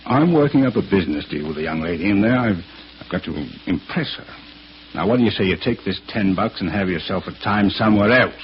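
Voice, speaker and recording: A boastful swindler in an old radio play, acted by a man, self-important and smooth-talking. His fairly low voice moves expressively over a dull recording with crowd chatter. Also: animated, quick pace, slight background noise, close to an everyday voice. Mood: irritated, bargaining. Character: boastful male swindler eager to impress women. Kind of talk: 1940s radio acting.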